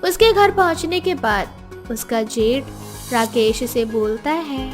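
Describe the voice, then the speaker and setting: A woman's voice: high voice, lively intonation, slow pace, faint music behind. A woman reading scripted story narration as the narrator.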